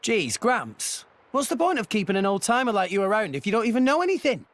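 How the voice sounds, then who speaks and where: Eager young hero. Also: male voice, high voice, huge pitch swings, a little fast, a bit bright, no room sound, full character voice. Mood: earnest. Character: eager young male hero, earnest and blunt.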